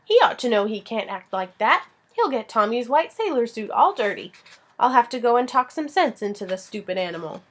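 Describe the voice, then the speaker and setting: A woman's voice: on the high side, brisk. A woman voicing a bold, playful, boisterous young girl, exclaiming and teasing as she reads a children's story. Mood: bossy. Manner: exclaiming, teasing, shrieking when excited.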